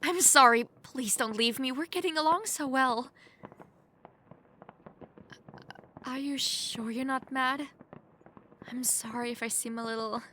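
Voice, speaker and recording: A young woman playing a sheltered, lonely princess who is playful, clingy and outspoken, in an expressive, chatty manner for an intimate roleplay audio drama. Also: sits high, normal speed. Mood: apologetic, clingy. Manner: expressive, chatty, swinging from giddy to wistful. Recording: faint background noise, hobbyist home microphone.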